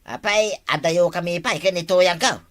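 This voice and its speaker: Man acting a bright-voiced grumbling old villager. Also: high-pitched, moderate pace. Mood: complaining. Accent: Ilocano. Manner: complaining, insistent. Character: grumbling old male villager, senior citizen, wheedling. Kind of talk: broad barangay comedy acting.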